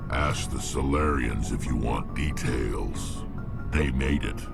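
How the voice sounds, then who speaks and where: A man voicing a gruff, world-weary, centuries-old alien mercenary warrior in a video game, gravelly and rumbling, blunt and sardonic in manner. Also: takes it slowly, heavily acted. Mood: grim, resigned. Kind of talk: video game character dialogue. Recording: loud music behind.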